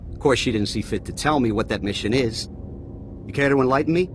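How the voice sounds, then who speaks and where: A man's voice: medium pitch, fast talker, noisy background, lightly acted. A man playing a sarcastic, dismissive army colonel who grumbles about orders. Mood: scornful. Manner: sardonic, grumbling. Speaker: regimental colonel.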